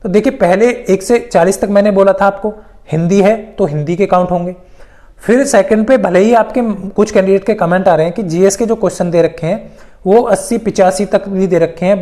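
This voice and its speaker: Casting: presenter, mid-pitched voice, informal exam-update explainer, emphatic, repeats points, mixes in English terms. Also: fairly quick, dark tone. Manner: repetitive, emphatic explaining with English loanwords mixed in.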